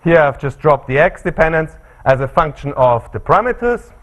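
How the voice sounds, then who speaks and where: A middle-aged man with an Austrian accent giving an academic seminar talk in a seminar room, measured and often pausing on fillers. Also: mid-range voice. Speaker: lecturer.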